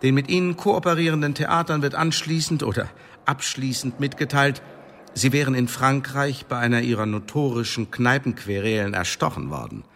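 An actor in a German radio drama playing a cold, mocking secret service tribunal chief, officious and commanding. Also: male voice, mid-range voice, normal speed, fairly bright tone. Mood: coldly formal. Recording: echoey hall, clear background noise.

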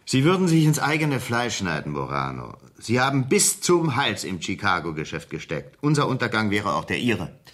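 A husky, defensive cornered businessman. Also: on the low side, sing-song, normal speed, a bit bright. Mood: cool, defiant. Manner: stiff, protesting, evasive. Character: cornered, defensive businessman in shady drug trade. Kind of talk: 1970s German radio crime drama acting.

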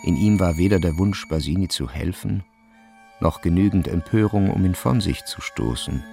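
A man's voice: low-pitched, normal speed, vocal fry, mellow. A man reading scripted literary narration for a radio drama. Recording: music behind the voice.

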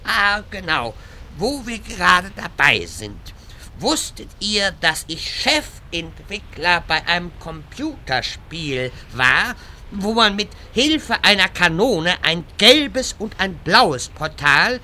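Guy doing rambling old wizard, high sharp voice, slow grand delivery, full of himself, audio play. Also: very animated, in a large hall, a little hiss or hum, heavily acted. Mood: smug, rambling. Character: pompous, long-winded old wizard, portal-obsessed. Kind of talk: parody audio drama acting.

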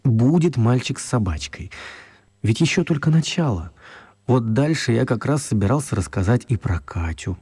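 A man narrating a children's radio play as an expressive storyteller. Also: lowish voice, slightly rough.

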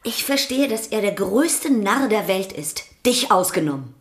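A woman's voice: mid-range voice, very animated, a little slow. A woman voicing an exasperated, stern sister in a radio drama, scolding and pleading.

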